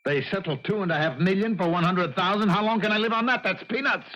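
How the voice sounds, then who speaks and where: An actor playing a proud, despairing veteran concert pianist in a theatrical 1970s radio drama, agitated and halting. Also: male voice, high-pitched, sharp tone. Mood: despairing, angry. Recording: dull vintage radio recording.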